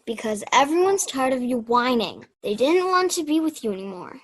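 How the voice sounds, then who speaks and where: A woman's voice: high voice, sing-song, normal speed, husky, exaggerated voice. A young-sounding woman playing a frank, kind-hearted teenage girl camper in an amateur radio play. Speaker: student radio play performer.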